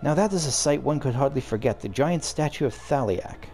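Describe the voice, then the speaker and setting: A man reading aloud the lines of a calm, learned young female scholar guide from a game, in a measured, formal way. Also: mid-range voice, faint music behind, pitched above the performer's own voice, natural voice.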